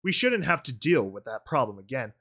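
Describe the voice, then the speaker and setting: A man's voice: medium pitch, very animated, brisk, sharp tone, slightly rough, heavily acted. A man voicing a burly male pony officer in a story, reporting formally and deferentially to a superior. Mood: formal, defensive.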